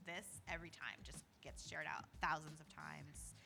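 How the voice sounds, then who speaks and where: A woman's voice: deep voice, little pitch movement, normal speed, creaky. A woman speaking earnestly as a community organizer and guest in a casual podcast conversation, often dropping in "you know" and "like".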